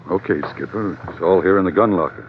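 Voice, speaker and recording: An actor playing a gruff, loyal sailor sidekick with terse, slangy speech in an old-time radio drama. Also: male voice, sits low, fast talker. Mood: reluctant. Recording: dull, noisy vintage radio recording.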